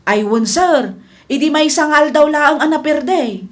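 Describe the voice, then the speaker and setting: A woman's voice: high-pitched, lively intonation, a little slow. A woman playing a meek but candid young employee in a radio comedy drama, deferential and hesitant.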